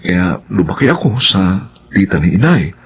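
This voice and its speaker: A man's voice: sits low, sing-song, normal speed, breathy edge, lo-fi sound, full character voice. An actor playing an earnest young man in a radio drama, often stammering as he explains himself. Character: earnest young man, flustered then patient. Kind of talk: Filipino radio drama acting.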